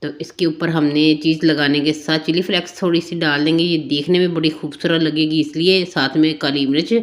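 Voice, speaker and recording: A woman with a Pakistani Urdu accent, a little hoarse, talking through a home cooking recipe in a homely, chatty step-by-step way. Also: low voice, fairly even intonation, a little fast. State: slightly hoarse throat. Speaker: cooking channel host. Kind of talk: home cooking tutorial voice-over.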